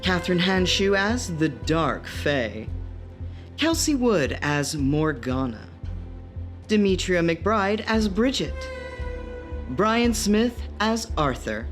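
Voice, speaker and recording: A woman's voice: on the low side, very animated, slow, faint music behind. A woman reading scripted narration and cast credits as the narrator of a radio play.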